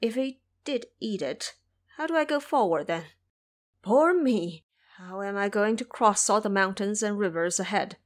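A woman's voice: mid-range voice, lively intonation, unhurried, lightly acted. A woman voicing a timid, fretful male monk, formal and plaintive, in an audio drama. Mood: fearful, plaintive.

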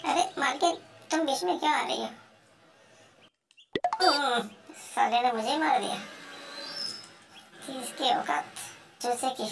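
A hungry female house cat mutters her schemes slowly to herself, humming as she plots, as a woman voices her. Her fairly high voice has a gravelly, fried edge and sits a little away from the mic. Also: far from the mic, background noise, exaggerated voice. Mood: grumbling greedy. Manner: muttering, plotting to herself with many hums. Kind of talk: comic pet voice-over.

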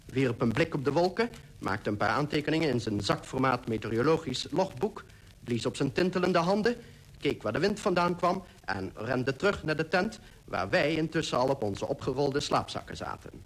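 A man's voice: a little high, lively intonation, normal speed. A man playing a polar explorer who recounts his expedition in literary, descriptive radio-drama narration. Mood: vivid, descriptive. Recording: faintly noisy old radio recording.

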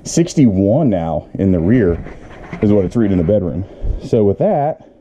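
A man's voice: low voice, very animated, moderate pace, warm tone. A middle-aged man giving a casual, rambling how-to walk-through, thinking aloud into a handheld camera. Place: outdoors beside a camper, later inside it. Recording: muffled handheld camera audio with clear background noise.

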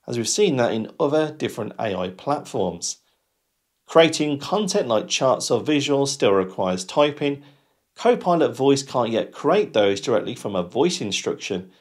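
Lively-pitched man presenting a tutorial. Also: breathy edge. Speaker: tutorial host. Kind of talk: presenter-style software tutorial to camera.

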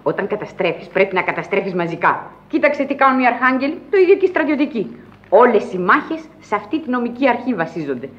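A woman's voice: fairly high pitch, sing-song. An actress playing a batty, pompous older lady who lectures like a judge in a radio play. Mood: pedantic, emphatic. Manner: pedantic, emphatic, theatrical. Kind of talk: radio theatre acting. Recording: dull, lo-fi archival radio recording.

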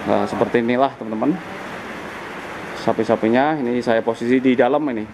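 A man's voice: fairly even intonation, a little fast. A young man narrating a casual vlog while touring a cattle shed, talking to viewers as friends. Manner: chatty, addresses viewers as friends, many fillers. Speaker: vlogger touring a cattle farm. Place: large open cattle shed. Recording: noisy barn with rain and cattle. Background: cattle lowing, rain.

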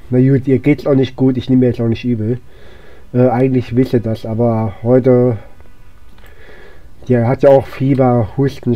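Hoarse man rambling over gameplay. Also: mid-range voice, no echo, highs cut off. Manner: rambling, many fillers and restarts. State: hoarse from a cold. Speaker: let's play gamer. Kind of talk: casual gameplay commentary.

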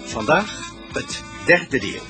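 Music plays clearly behind a male radio drama announcer. His scripted delivery is drawn out, with animated intonation at a middle pitch. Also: takes it slowly.

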